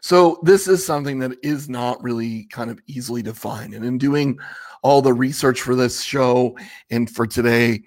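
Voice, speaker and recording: A man with a slightly raspy voice hosting a casual livestream, rambling conversationally and asking viewers questions. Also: medium pitch, normal speed, darkish tone. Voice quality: a little raspy. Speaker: livestream host.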